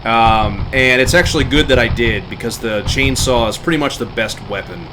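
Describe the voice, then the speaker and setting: A man's voice: medium pitch, moderate pace. A man giving casual live commentary as he streams a game. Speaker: game livestreamer. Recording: game engine noise behind voice. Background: game vehicle sounds.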